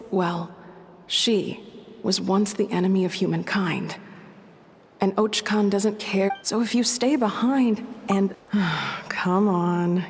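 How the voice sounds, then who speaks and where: A woman playing a gentle, wise female mentor who gives soft, caring advice. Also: mid-range voice. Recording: long hall reverb.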